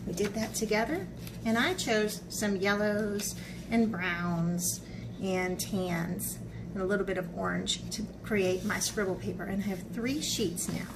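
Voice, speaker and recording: A woman's voice: mid-range voice, measured pace, background noise. A woman teaching young children in a read-aloud and craft lesson style, explaining things simply and often checking in with little questions. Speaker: children's art teacher and storybook reader.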